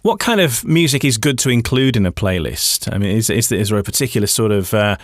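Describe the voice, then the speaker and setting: A man's voice: lowish voice, expressive, fairly quick, dry recording. A man hosting a conversational radio interview, often repeating words as he works his way into questions.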